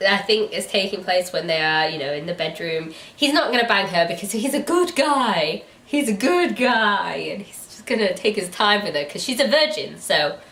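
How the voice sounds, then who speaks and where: Lively British woman, echoey room. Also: mid-range voice, normal speed, few pauses. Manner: giggly, informal. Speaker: book reviewer and host. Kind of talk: casual chat. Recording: echoey room, distant mic.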